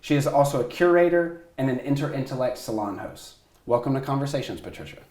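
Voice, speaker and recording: A man's voice: medium pitch, lively intonation, quick pace, darkish tone, roomy sound. A man hosting an interview podcast, asking loose, rambling questions full of fillers. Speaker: podcast host. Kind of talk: casual interview.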